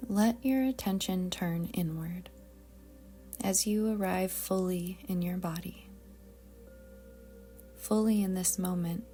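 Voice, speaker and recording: Woman, meditation guide, very slow delivery, slightly low pitch, soft breathy near-whisper, calm, gentle. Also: soft background music. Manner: calm, soothing, gentle, deliberate. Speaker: yoga nidra meditation guide.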